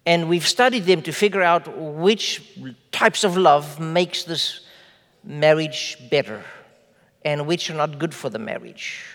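A man whose first language is Afrikaans preaches from the pulpit with a fairly high voice, slowing down to lean on key words and asking questions of the room. A long reverb from the hall trails after his phrases. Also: fairly bright tone. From South Africa. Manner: measured preaching with slow emphatic phrases and rhetorical questions. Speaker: pastor. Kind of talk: sermon.

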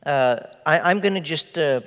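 A man whose first language is Afrikaans, preaching a sermon in measured, emphatic phrases with rhetorical questions. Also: a little high, fairly bright tone, smooth, in a large hall. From South Africa. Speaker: pastor.

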